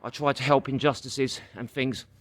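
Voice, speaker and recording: A man's voice: mid-range voice, steady tone, moderate pace, fairly bright tone. Chatty London man vlogging on a noisy street. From London, England. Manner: chatty, addresses viewers as guys, many uh fillers. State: walking, gets out of breath. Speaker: vlogger and comedian. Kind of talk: casual vlog talking to camera. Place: outdoors on a busy city street. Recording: street noise and passing vehicles. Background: street traffic.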